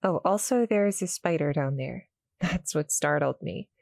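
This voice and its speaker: Woman as a small cuddle-monster girl, shy, friendly, soft-spoken, stammering, slightly low female pitch, dry intimate sound, audio roleplay. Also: gravelly, dry recording. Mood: shy, explaining. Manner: nervous, halting, stammering, soft-spoken explaining. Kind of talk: roleplay audio acting addressed to the listener.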